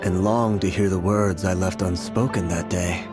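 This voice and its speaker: An actor playing a calm, wise, guarded prophet in a fantasy video game, speaking in a grave, measured and formal manner. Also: male voice, low-pitched, natural voice. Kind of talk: dramatic video game voice acting. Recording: background music under the voice.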